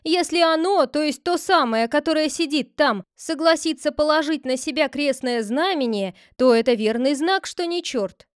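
A woman's voice: high-pitched, lively intonation, moderate pace, hardly any pauses, dry recording, studio quality, pitched above the performer's own voice. A woman voicing a cautious male village clerk who pleads and reasons with the crowd. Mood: urging caution.

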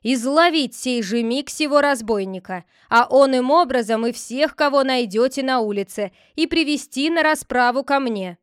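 A woman voicing an older village head who shouts commands in a blustering, bossy way. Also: sits high, normal speed, few pauses, smooth, no room sound, clean studio sound, close to an everyday voice. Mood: angry, commanding.

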